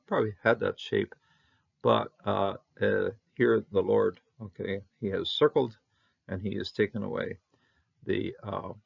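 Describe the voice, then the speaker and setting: A man teaching a Bible Hebrew lesson, talking haltingly with many fillers and restarts, his voice jumping up on each Hebrew word. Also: lowish voice, creaky, breathy edge, muffled recording. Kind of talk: unscripted verse-by-verse Hebrew lesson.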